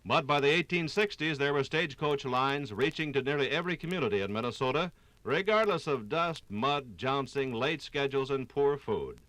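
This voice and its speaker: A man narrating a scripted 1950s radio documentary with dramatic, storytelling phrasing and deliberate pauses. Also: medium pitch, lively intonation, a bit bright, no echo.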